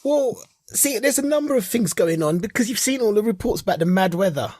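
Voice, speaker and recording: A man with a husky voice, co-hosting a podcast with wry, joking, casual geek chat. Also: high-pitched, expressive, brisk, darkish tone, no echo, clean studio sound. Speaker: podcast co-host.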